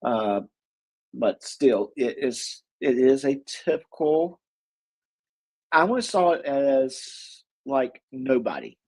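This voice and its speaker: A man with a husky voice, co-hosting a casual chat about movies, rambling with lots of fillers and restarts. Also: medium pitch, sing-song, takes it slowly, darkish tone. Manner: rambling, many fillers and restarts, chuckles often. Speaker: co-host.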